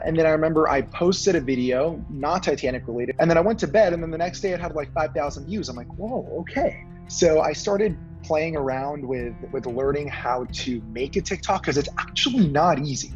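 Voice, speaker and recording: A man's voice: fairly high pitch, fairly quick, faint music behind. Chatty young man from Honduras, lo-fi. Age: young adult. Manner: chatty, frequent "like" fillers. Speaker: guest. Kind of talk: casual storytelling. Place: Toronto.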